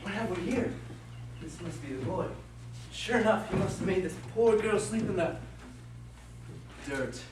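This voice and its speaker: A man's voice: high-pitched, lively intonation, unhurried, pauses often. A man playing a mischievous male forest sprite in a stage play, recorded from far off in an echoey hall. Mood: sly. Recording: echoey hall, distant mic, noisy.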